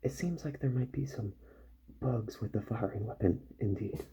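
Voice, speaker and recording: Male ASMR roleplayer, very mellow and low, soft and unhurried, gravelly edge, half whispering. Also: slight background noise. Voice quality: soft, breathy, partly whispered. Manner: slow, gentle, unhurried. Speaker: ASMR performer playing a robot repair doctor.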